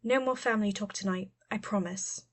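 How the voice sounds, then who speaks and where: A woman voicing a warm, wistful adult woman, a caring older cousin, in a gentle audiobook manner. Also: mid-range voice, brisk, close to an everyday voice. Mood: reassuring. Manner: gentle, careful, murmured at times.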